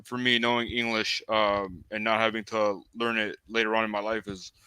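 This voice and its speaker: A man hosting an interview, his voice bright and crisp, recorded dry and close. He grew up speaking Armenian first and talks in a hesitant, searching way, full of small fillers. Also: lowish voice, little pitch movement, moderate pace, no echo, low-quality recording. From United States. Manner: hesitant, heavy on "you know" fillers. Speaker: host. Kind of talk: casual interview conversation.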